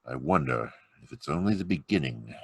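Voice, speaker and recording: An actor playing a calm, deductive male amateur detective in an old-time radio drama, speaking in a measured, grave way over a video call. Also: extremely low pitch, gravelly, breathy edge, heavily acted. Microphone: home mic on a video call.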